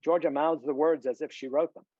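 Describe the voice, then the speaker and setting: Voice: mid-range voice, steady tone, a little fast, warm tone, no echo. A slightly dull, dry video call recording of a narrator with a mid-range voice. The delivery is scripted narration, reading out stage directions for a screenplay.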